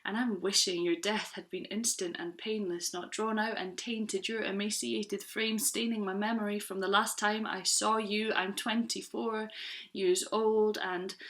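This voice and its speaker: Bright-voiced woman reciting spoken word. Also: a little high. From Scotland. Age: 20s. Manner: rhythmic, earnest recitation. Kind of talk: spoken word poetry performance.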